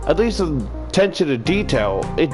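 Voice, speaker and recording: A man's voice: fairly high pitch, sing-song, moderate pace, over music. A man giving a casual, rambling game review in a profane, exasperated, ranting manner with emphatic repetition. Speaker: video game reviewer, YouTuber.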